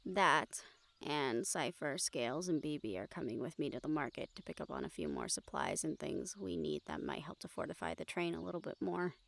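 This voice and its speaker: A woman's voice: deep voice, very animated, moderate pace, creaky, heavily acted. A woman playing an easygoing, helpful young travelling companion in a scripted audio roleplay, friendly and practical.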